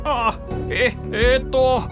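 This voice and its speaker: Timid young female defense attorney, high-pitched, hesitant, formal, audio drama with music. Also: fairly even intonation, slow. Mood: formal, nervous. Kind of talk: Japanese audio drama voice acting.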